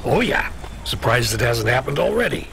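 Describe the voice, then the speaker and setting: A man playing a gruff, fatherly, streetwise dispatcher in a video game, heard over a noisy radio call. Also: mid-range voice, big pitch swings, moderate pace. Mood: wry amusement. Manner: colloquial, streetwise, laid-back. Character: gruff, fatherly middle-aged male delivery dispatcher.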